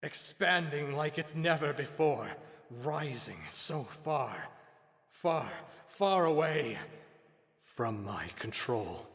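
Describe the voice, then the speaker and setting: A man voicing a tormented, lovesick cursed man in a fantasy visual novel, brooding and theatrical, with halting intensity and a breathy, husky voice. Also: fairly high pitch, lively intonation, fairly bright tone, full character voice. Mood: yearning, rising hysteria. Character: tormented cursed man, lovesick, fearing his own bloodlust. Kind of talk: dramatic visual novel monologue.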